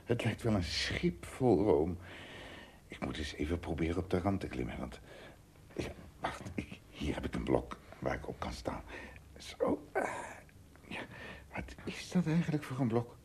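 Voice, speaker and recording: Casting: a tiny adventurer among giants, deep and slightly rough, very animated, earnest, for a radio play. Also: male voice, measured pace, whispered, heavily acted. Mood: curious, musing. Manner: expressive, musing aloud to himself.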